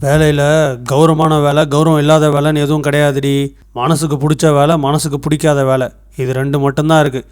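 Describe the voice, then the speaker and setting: A man voicing a frustrated, stubborn character in an animated moral story, speaking in an aggrieved, emphatic way. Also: a little high, brisk, clear, resonant tone, lightly acted. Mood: defiant. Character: frustrated, stubborn man defending the work he wants.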